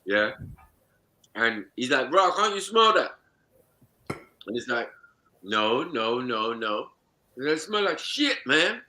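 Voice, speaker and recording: A man's voice: medium pitch, sing-song, slow pace, pauses often, pushed and bright. A man, chatting casually and joking on a livestream. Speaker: livestream host. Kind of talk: casual chat.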